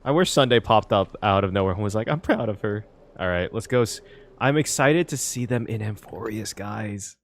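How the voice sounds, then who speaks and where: A man giving casual reaction commentary as a game streamer, halting and exclaiming, with some swearing. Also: lowish voice, expressive, a little hiss or hum.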